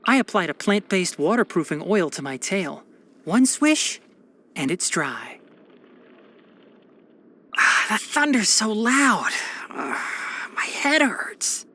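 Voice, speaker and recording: A man's voice: high voice, sing-song, slow, long pauses, sharp tone, exaggerated voice. Game role: young male fox-eared forest watcher. Scholarly, practical, precise diction, matter-of-fact with dry sarcasm. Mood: composed, instructive, then pained, distressed. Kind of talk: scripted video game character voice lines.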